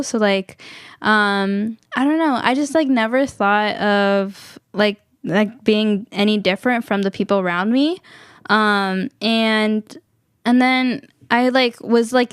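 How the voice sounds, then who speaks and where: Young American woman, casual radio chat, clear smooth tone, dry studio sound. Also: mid-range voice, no room sound. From United States. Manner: chatty, many fillers and "like"s. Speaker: youth radio co-host. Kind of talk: casual conversation.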